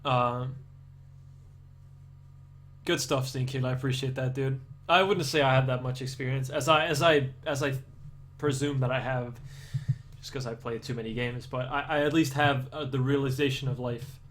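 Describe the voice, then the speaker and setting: Young Danish male streamer, slight Danish accent under American English, rambling and casual, mid-pitched voice. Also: fairly even intonation, moderate pace, fairly bright tone, a little hiss or hum. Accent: Danish, aiming for American English. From Denmark. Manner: rambling, many restarts and fillers. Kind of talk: casual chat with live viewers.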